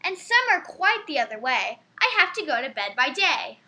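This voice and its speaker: Bright, high, lively female co-reader. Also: moderate pace. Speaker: co-reader on a children's story show. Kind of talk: poem recital and joke telling for children.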